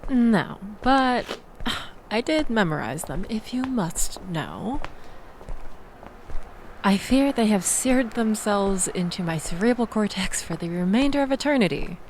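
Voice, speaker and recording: A woman's voice: mid-range voice, lively intonation, unhurried, a little mellow, slight background noise, rough recording. A woman playing a sardonic young woman venting about a bad date in an intimate audio roleplay, wry, wordy and exasperated. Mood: playful, sarcastic. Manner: wry, exasperated, wordy, theatrical emphasis with sighs and groans.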